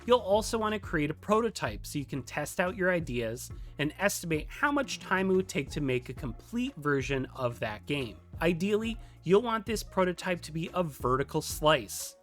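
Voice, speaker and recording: A tutorial narrator with a mid-pitched, animated voice that moves freely up and down. The delivery is scripted yet conversational and lightly playful, with music playing behind it. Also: moderate pace. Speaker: video tutorial presenter.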